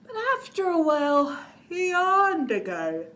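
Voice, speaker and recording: A woman's voice: high voice, big pitch swings, a little slow, fairly bright tone, slight background noise. A woman reading a children's picture book aloud expressively, in a sing-song way with chatty little asides. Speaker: children's storytime reader.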